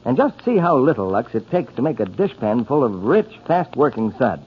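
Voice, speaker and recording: A man with a husky voice reading a scripted 1940s radio advertisement. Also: medium pitch, sing-song, normal speed, a little hiss or hum. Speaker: commercial announcer. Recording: muffled 1940s radio broadcast.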